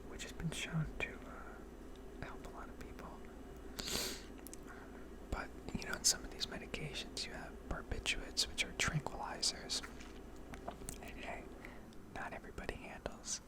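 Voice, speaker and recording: Woman whispering asmr, just rambling softly, kinda hesitant and thoughtful, pauses a lot. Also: rough recording. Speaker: ASMR video creator rambling over gameplay.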